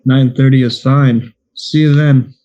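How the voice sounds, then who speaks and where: A man playing a fretful private detective in a radio play, flustered and quick to exclaim. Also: mid-range voice, steady tone, dark tone, muffled recording, natural voice. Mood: businesslike.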